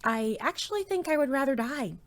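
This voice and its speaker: A woman's voice: high voice, lively intonation, fairly quick. Woman acting an anxious, hesitant teenage girl. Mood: nervous, wry. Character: anxious, self-doubting teenage girl student secretly a superhero. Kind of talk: audio drama acting with first-person narration.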